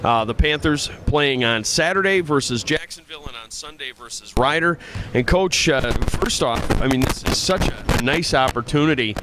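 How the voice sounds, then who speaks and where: A man's voice: medium pitch, animated, moderate pace, background noise. A man working as a sports play-by-play announcer, conducting an interview with some stops and starts.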